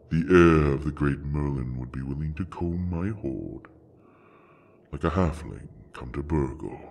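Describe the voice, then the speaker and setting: A man playing an ancient, bookish dragon, proud and possessive, with a deep gravelly voice and a formal, grandiose way of speaking in a fantasy audio drama. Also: a little mellow, exaggerated voice. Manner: slow, formal, grandiose speech.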